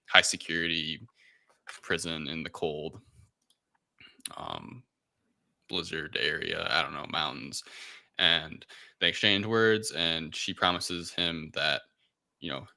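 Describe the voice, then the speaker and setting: Very bright, deep-voiced man chatting. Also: vocal fry, no room sound. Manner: informal, loosely improvised retelling with quoted asides. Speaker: podcast host recapping a film. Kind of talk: casual film recap chat.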